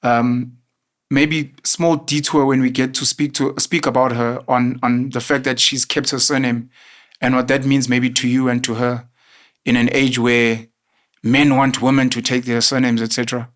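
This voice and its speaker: A man's voice: mid-range voice, little pitch movement, normal speed, a bit bright. A man hosting an interview, talking in an effusive, chatty and admiring way. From South Africa. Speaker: host. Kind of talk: interview conversation.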